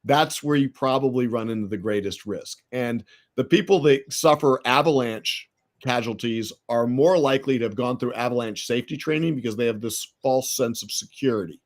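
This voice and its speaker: A man's voice: mid-range voice, normal speed. A middle-aged man with an American accent, a guest in a casual interview, telling stories with many fillers and restarts. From United States. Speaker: podcast guest, entrepreneur.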